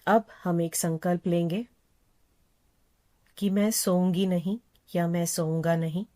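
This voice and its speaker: A woman yoga instructor leading a guided meditation in a calm, unhurried, soothing manner, repeating phrases gently. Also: fairly low pitch.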